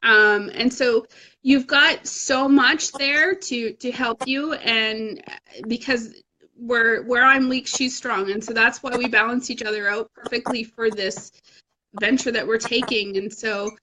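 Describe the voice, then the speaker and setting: A woman in her thirties talks in a casual, motivational way, frank and self-deprecating. Her voice sits a little high and sounds bright and forceful. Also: fairly even intonation, measured pace, low-quality recording. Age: 30s. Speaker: fitness challenge group coach.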